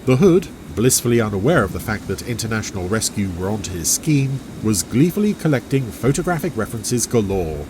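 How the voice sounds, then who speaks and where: A man's voice: fairly low pitch, big pitch swings, fairly quick, few pauses, background noise. A man narrating a scripted adventure story in a dramatic storytelling style. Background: music and vehicle sound effects.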